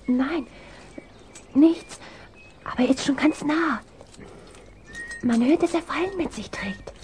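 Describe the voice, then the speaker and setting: A woman's voice: high-pitched, slow, a little mellow. A woman in a seventies German radio play voicing a curious, adventurous young girl, eager and conspiratorial. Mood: hushed, eager. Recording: vinyl rip with faint noise.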